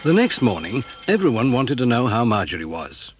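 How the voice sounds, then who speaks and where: A man's voice: medium pitch, big pitch swings, normal speed, noisy background. A man reading a scripted children's story as narrator.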